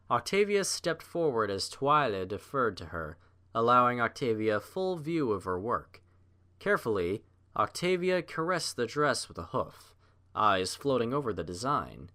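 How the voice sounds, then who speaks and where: A man narrates scripted fiction in a medium-pitched voice. His delivery is measured and literary, with very varied intonation that shapes each phrase. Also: bright, forceful tone.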